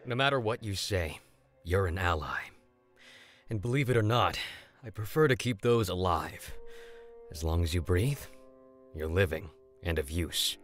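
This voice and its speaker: A man voicing a calm, battle-hardened, pragmatic commander in a measured, stern way for a fantasy visual novel. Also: deep voice, soft background music. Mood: somber, firm. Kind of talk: character voice in visual novel read-aloud.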